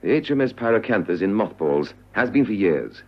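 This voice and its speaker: A suave radio-drama villain, rather low and husky. He delivers smooth, mock-regretful menace with a hugely mobile pitch on a muffled old recording. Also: very animated, brisk, exaggerated voice. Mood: coolly menacing. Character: suave, cold-blooded male villain posing as bridegroom. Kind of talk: spy radio drama acting.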